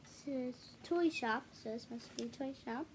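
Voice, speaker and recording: A woman hosting a children's read-aloud video, reading a picture book with casual, halting, self-correcting asides to viewers. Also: high-pitched, background noise. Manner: halting, self-correcting, apologetic while showing pages.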